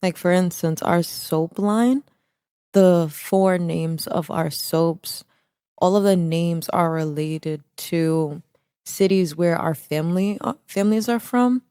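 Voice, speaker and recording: A young woman speaking as an interview guest about her business, animated and casual, often restarting her phrases. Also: on the low side, takes it slowly, smooth, dry recording, clean studio sound. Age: young adult.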